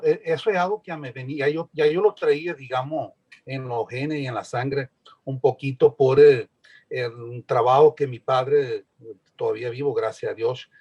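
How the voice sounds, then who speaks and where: A man's voice: mid-range voice, darkish tone, slightly rough, lo-fi sound. A man in his sixties, born in Portugal, speaking as a guest on a video-call panel in a casual, hesitant way, often repeating words as he starts a thought. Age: 60s. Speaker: guest panelist, community activist. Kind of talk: casual discussion.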